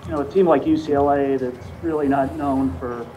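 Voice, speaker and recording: A man's voice: medium pitch, steady tone, brisk, mellow. A man working as a reporter, asking questions at a press conference in a hesitant, circling way. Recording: dull sound, faint noise.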